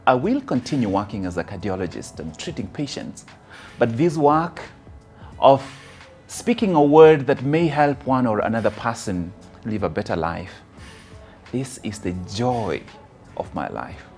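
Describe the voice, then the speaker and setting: A man with a Kenyan accent giving a motivational personal testimony, phrasing deliberately and dramatically with emphatic stresses. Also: mid-range voice, very animated, a little mellow, soft background music. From rural Kisii, Kenya. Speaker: motivational speaker.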